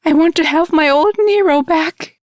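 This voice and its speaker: Audiobook drama: female reader as anguished young woman, scared for a loved one, pitched high, very dry recording. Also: moderate pace, no room sound. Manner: emotional, anguished first-person telling. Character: frightened, grieving young woman watching a loved one suffer. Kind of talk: dramatic audiobook character reading.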